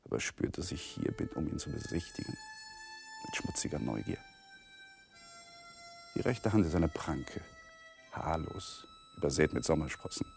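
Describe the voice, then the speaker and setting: A man's voice: sits low, wildly swooping pitch, moderate pace, gravelly, pauses often, a little mellow, soft background music, exaggerated voice. An actor playing an awkward, intrusive young male lawyer in a German radio crime drama, courteous and hesitant. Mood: brooding inner monologue. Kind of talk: German radio crime drama, dialogue and hushed inner monologue.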